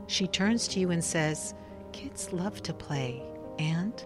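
A woman acting in a radio drama as a composed, insistent researcher who questions in a measured, pressing way. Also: lowish voice, expressive. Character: probing, composed, insistent female researcher conducting an interrogation. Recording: music behind.

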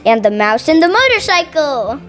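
Female announcer, slightly high pitch, scripted event promo, light music bed underneath. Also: sing-song, normal speed, a bit bright.

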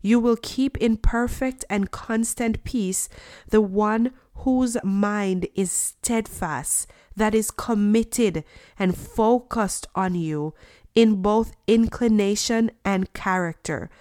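Congested woman host, slow earnest prayer and scripture reading, dry close sound. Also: medium pitch, a little mellow, dry recording. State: congested with a cold. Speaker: podcast host.

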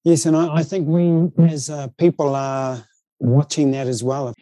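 A man's voice: a little high, level tone, unhurried, dark tone, no room sound. A man with a New Zealand accent, speaking in a considered, conversational way as an interview guest.

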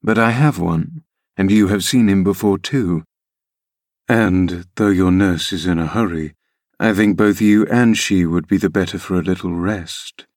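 A man reading an audiobook, voicing a brooding, sarcastic middle-aged man in a low voice. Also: unhurried, a little mellow, no room sound, clean studio sound. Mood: sardonic.

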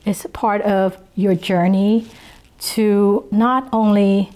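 Vietnamese-born woman in her 50s, southern accent, earnest and slow, pauses a lot. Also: medium pitch, fairly even intonation, a little mellow. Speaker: interviewee. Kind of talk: reflective personal testimony.

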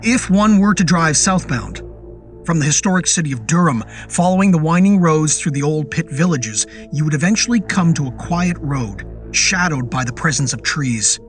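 A man narrating a scripted horror story in a measured, descriptive storytelling manner. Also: a little high, animated. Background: music under the voice.

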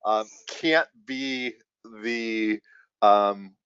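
A man with a lowish voice hosts a laid-back podcast, teasing himself and rambling through his questions. His voice is recorded dry and close. The sound is slightly dull and lo-fi. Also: fairly even intonation, drawn-out words, fairly bright tone, clear, resonant tone, no room sound. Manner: joking, self-deprecating, rambling. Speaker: podcast host. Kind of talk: casual podcast interview.

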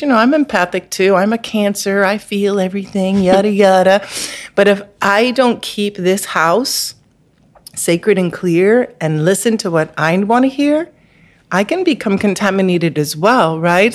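A woman being interviewed as a therapist, telling a personal story in an earnest, candid, spiritual way, with many "like" and "um" fillers. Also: medium pitch. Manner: frequent "like" and "um" fillers. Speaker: guest therapist.

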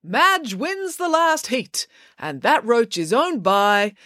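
Woman as an animated, fussy male race commentator. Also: fairly high pitch, slow, dry recording, studio quality, pitched above the performer's own voice, heavily acted. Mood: excited.

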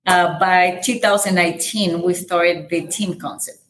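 A woman from El Salvador with a light Salvadoran Spanish accent, a native Spanish speaker, talking as a guest in a casual podcast interview about her real estate business, energetic and full of fillers. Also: fairly low pitch, unhurried.

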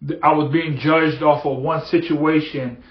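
A man's voice: on the high side, steady tone, measured pace. Earnest man, echoey lo-fi room. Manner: earnest, repetitive, building emphasis. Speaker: podcast host. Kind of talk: motivational monologue. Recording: echoey, dull lo-fi room sound.

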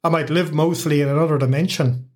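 An Irish man in his forties narrates his own story as host of a podcast. He reads from a script with a wry, humorous edge. His voice sits somewhat high for a man. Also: steady tone, quick pace, darkish tone.

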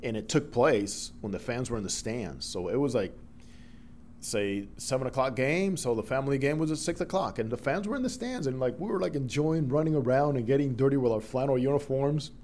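A middle-aged man from the Dominican Republic whose first language is Spanish, a broadcaster telling lively stories as a guest in an interview. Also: mid-range voice, brisk, a little hiss or hum. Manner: animated storyteller, acts out quoted voices. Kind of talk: storytelling interview.

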